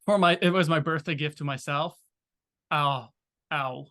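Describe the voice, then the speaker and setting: A laid-back man with a South African accent co-hosts a relaxed conversation. He talks loosely, drifts into fillers and pokes fun at himself. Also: on the high side, measured pace. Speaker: co-host. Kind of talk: casual video chat.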